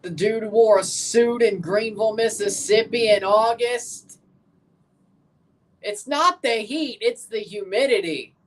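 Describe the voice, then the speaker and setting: A woman's voice: on the high side, slow pace, poor audio. A woman hosting a livestream watchalong, giving casual live football commentary and chatting with viewers, often repeating and correcting herself.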